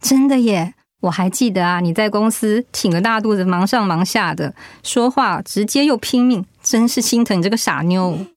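An actress playing a kind, attentive middle-aged housewife talking in a radio drama. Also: female voice, medium pitch, moderate pace, hardly any pauses, no echo, natural voice. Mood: nostalgic. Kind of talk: radio drama acting, conversational.

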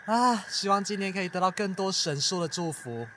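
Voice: medium pitch, brisk, bright, forceful tone, a little hiss or hum, close to an everyday voice. An actor playing a dutiful, devout young temple guard in an audio drama, chatty and earnest. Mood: chatty, reverent. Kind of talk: Mandarin audio drama acting.

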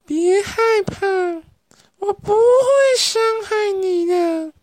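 A teenage girl playing a young, centuries-dead ghost princess in a radio drama, pleading in a drawn-out, eerie, tearful way. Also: extremely high pitch, steady tone, a little mellow, no echo, clean studio sound. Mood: coaxing, plaintive.

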